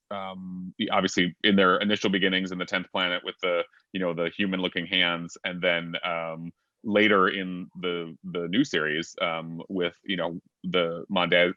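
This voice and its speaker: Deep low guy hosting a fan panel on a video call, lots of yeps and ums, kinda dull dry audio. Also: sharp tone, no room sound. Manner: agreeable, lots of fillers and quick yeps. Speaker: panel moderator. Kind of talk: online fan convention panel discussion.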